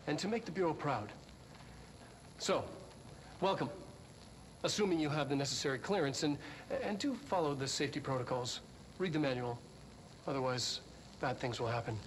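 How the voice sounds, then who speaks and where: Actor as eccentric scientist, halting and higher-pitched. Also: male voice, a little hiss or hum, lightly acted, rough recording. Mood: proud, welcoming, then cautionary. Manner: halting, deliberate, lecturing. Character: eccentric middle-aged male head scientist, earnest and secretive. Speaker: head of research. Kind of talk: in-world instructional video presentation.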